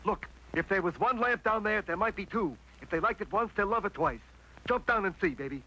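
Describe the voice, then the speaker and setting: A wicked cartoon uncle with a high, forceful voice. He is grumpy and scheming, with the brash wheedling patter of a hipster salesman from a 1960s cartoon. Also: expressive, brisk, exaggerated voice, low-quality recording.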